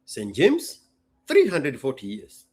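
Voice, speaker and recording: Expressive husky man from Kenya. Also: medium pitch, takes it slowly. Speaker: host. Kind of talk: casual solo talk to an audience.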